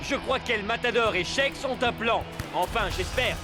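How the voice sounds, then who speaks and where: Eager cartoon football co-commentator, very high-pitched, play-by-play style, loud music behind. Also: male voice, moderate pace. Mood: eager, tense. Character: eager male football co-commentator rooting along. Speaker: match co-commentator. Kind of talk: animated sports commentary.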